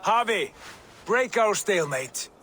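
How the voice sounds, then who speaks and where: An actor playing a resolute Norse warrior god in a video game, speaking formally and urgently. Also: male voice, high-pitched, lively intonation, slow pace, bright, forceful tone, slight background noise, exaggerated voice. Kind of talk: dramatic video game acting.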